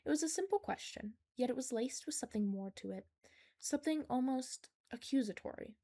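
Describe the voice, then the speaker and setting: A woman's voice: high voice, expressive, fairly quick, dark tone. A woman reading fan fiction aloud as a scripted story narration.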